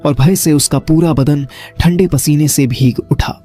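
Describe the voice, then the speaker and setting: A man's voice: fairly high pitch, unhurried, dark tone, faint music behind. Dramatic male horror story narrator.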